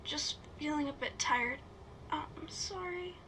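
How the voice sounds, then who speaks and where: Woman acting a high-pitched despairing young girl. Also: unhurried, sharp tone. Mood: weak, resigned. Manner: emotional, plaintive delivery. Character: wounded, grief-stricken teenage girl, guilt-ridden and despairing. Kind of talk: amateur dramatic script read. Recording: noisy home microphone recording.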